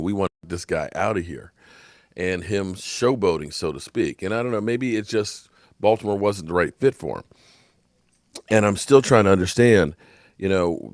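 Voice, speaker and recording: Hoarse, ranting male sports commentator. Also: low-pitched, no room sound, studio quality. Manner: emphatic, frustrated ranting. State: hoarse, coughing. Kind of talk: opinionated sports talk.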